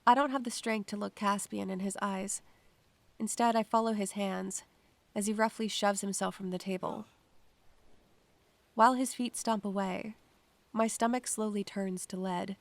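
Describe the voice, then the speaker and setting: A woman playing an anxious, observant first-person narrator in a scripted audio drama, measured and literary. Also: medium pitch, fairly even intonation, vocal fry, dry recording, lightly acted. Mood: tense, hushed.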